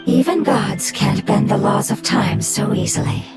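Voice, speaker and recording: A performer voicing a small forest spirit creature in a video game, who speaks in a formal, solemn, breathy way. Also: mid-range voice, wildly swooping pitch, measured pace, full character voice. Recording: loud game soundtrack playing behind. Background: loud game soundtrack music.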